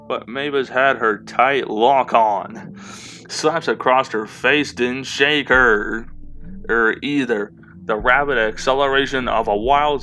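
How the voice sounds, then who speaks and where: A man's voice: mid-range voice, pushed and bright, slight background noise. A man narrating fiction aloud in an amateur style, now and then stumbling and repeating a phrase.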